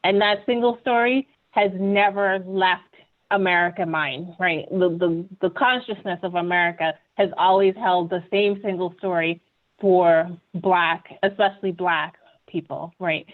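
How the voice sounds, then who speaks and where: A woman's voice: fairly low pitch, unhurried. A woman from Ghana, giving an earnest, conversational webinar presentation and often checking in with "right?". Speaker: webinar panelist. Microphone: video call. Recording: tinny video call audio.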